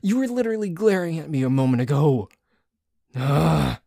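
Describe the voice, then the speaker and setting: A man playing a dorky, jealous tsundere boyfriend in an ASMR roleplay, bickering haltingly with a cocky streak. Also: a little high, big pitch swings, gravelly, dark tone, no room sound, clean studio sound, heavily acted. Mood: disgusted, flustered. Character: dorky, jealous, grumbling tsundere boyfriend who dislikes a cat.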